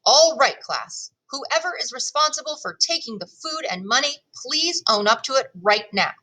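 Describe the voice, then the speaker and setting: A woman's voice: sits high, expressive, normal speed, bright, forceful tone, pitched above the performer's own voice, exaggerated voice, low-quality recording. A woman playing a stern schoolteacher in a children's story, addressing her class in firm, authoritative, clipped phrases.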